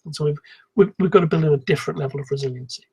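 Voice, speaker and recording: A man giving an explanatory technical conference talk in a calm, measured manner, with a slightly husky voice. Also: fairly high pitch, background noise. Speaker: conference speaker, software engineer.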